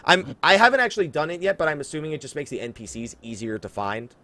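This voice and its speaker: A man hosting a casual gaming podcast, talking in an animated way and exaggerating for emphasis. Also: fairly high pitch, fast. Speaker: podcast host. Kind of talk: casual game podcast chat.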